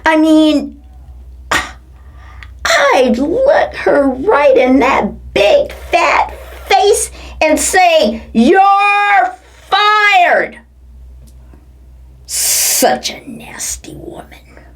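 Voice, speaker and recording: A woman from the United States giving a theatrical satirical reading, swinging between low mutters and high exclamations. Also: animated, drawn-out words, long pauses. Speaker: performance artist. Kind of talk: satirical dramatic reading of quotes. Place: home living room.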